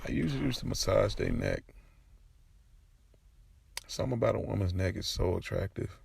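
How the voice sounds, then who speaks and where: An American man narrating an audio drama in the first person, in a gravelly voice and casual, slangy street vernacular. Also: deep voice. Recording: music bed under the voice. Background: music.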